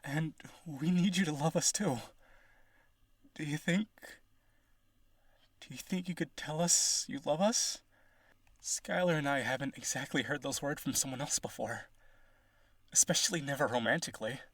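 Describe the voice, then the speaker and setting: A man's voice: a little high, moderate pace, long pauses, a bit bright, husky, dry recording. A man playing a rich, devoted vampire aristocrat in a roleplay audio, earnest and pleading, with gushing, hesitant speech. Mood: shy, pleading.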